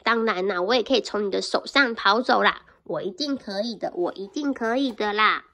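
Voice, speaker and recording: A woman's voice: mid-range voice, a little fast, fairly bright tone, lightly acted. A woman voicing a boastful little gingerbread man in a children's storybook, chanting taunts in a sing-song way. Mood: taunting.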